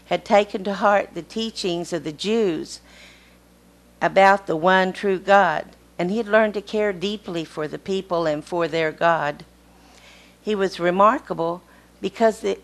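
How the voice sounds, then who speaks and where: A woman lay speaker delivering a sermon at a pulpit microphone in a small church, in a steady storytelling manner. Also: on the low side.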